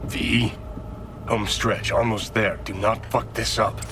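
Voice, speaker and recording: Low-voiced sardonic companion. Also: male voice, flat delivery, slow, music in the background. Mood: wry, urging. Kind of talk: video game voice acting.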